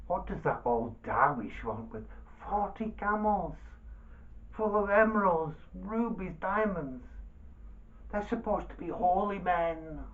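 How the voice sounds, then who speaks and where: A man's voice: a little high, narrow, tinny audio, voice pushed up. A man voicing a sly, tempting devil who goads with suspicion and greed in a storybook tale.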